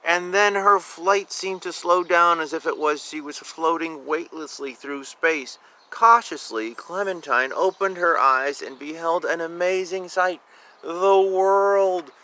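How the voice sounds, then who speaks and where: Bright-voiced man reading a children's storybook. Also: on the high side, unhurried, rough recording. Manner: expressive, informal, drawing out words, commenting on the pictures. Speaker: children's book reader. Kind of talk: animated storybook read-aloud with casual asides.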